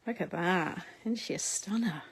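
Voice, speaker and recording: A woman's voice: lowish voice, normal speed, breathy edge. A middle-aged British woman from the UK, chatting casually with viewers on a crafting livestream, reading their comments aloud and laughing often. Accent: British English. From United Kingdom. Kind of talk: casual live chat while crafting.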